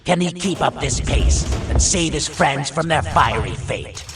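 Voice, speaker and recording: A man voicing an excitable, showy goblin arena announcer for a fantasy story, calling out the action like a sportscaster. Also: on the high side, measured pace, music in the background, voice pushed up. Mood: excited suspense. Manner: showy, exclamatory sportscaster delivery.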